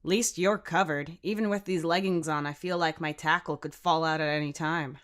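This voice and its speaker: A woman's voice: low-pitched, fairly even intonation, normal speed, close to an everyday voice. A woman voicing a cheeky teenage boy in an audiobook story, casual and teasing.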